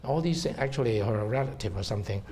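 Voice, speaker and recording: A man's voice: on the low side, animated, quick pace, darkish tone, slight background noise. A middle-aged man with a Hong Kong accent, a herbal doctor giving a seminar talk and explaining to the audience, checking in with "okay?". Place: seminar room.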